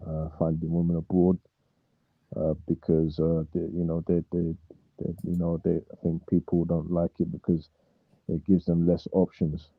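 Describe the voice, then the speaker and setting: Deep, flat, hesitant man on a muffled call. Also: gravelly, no echo. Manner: hesitant, halting. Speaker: caller. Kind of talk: casual call-in chat.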